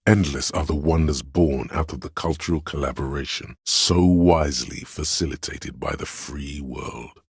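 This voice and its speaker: Very deep, gravelly, lofty inner muse. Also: male voice, dry recording, studio quality, heavily acted. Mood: solemn, lofty. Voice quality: very deep gravelly husky bass. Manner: slow, deliberate, theatrical, pretentious art-critic delivery. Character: artistic inner voice of a detective's mind, lofty and critical. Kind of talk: dramatic game narration.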